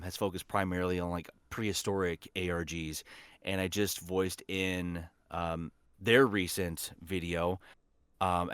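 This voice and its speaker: A man's voice: low-pitched, a bit bright, smooth, dry recording. A man talking as a guest voice actor in a casual podcast chat, with an enthusiastic, informal way of speaking.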